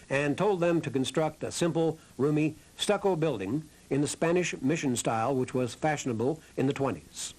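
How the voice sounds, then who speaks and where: A man's voice: on the high side, a little mellow, rough recording. A man reading a scripted historical documentary narration. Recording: narration over background music. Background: music.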